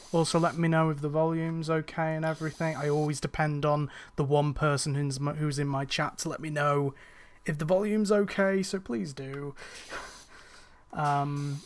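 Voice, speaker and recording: A young man streaming a cleaning game, chatting casually with his viewers, rambling with fillers and restarts and sounding a little tired. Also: a little high. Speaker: gaming streamer. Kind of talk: casual chat while playing a game on a live stream.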